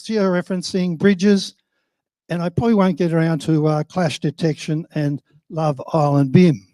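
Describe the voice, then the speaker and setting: Older man, Australian accent, high voice, dry close sound, informal conference speaker, wry, plain-spoken. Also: mellow, no echo.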